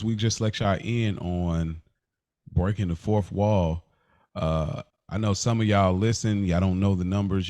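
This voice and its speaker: A man with a fairly low voice co-hosts a podcast in a close, dry recording. He talks casually and reflectively, often restarting as he deliberately works out his phrasing. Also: warm tone, dry recording. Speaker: podcast co-host.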